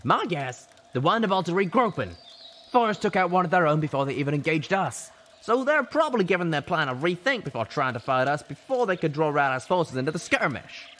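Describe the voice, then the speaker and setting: A man voicing a brisk, tough female griffin scout in a story reading. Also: on the high side, sing-song, fairly bright tone, big-room sound, pitched above the performer's own voice. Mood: brisk, alert.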